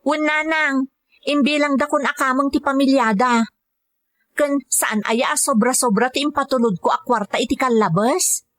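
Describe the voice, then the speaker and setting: An actress plays a devoted daughter working overseas as a caregiver, with a fairly high voice and an Ilocano accent. She speaks earnestly and respectfully, in radio drama style. The recording is close and dry. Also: moderate pace, fairly bright tone, no echo. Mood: respectful, reassuring.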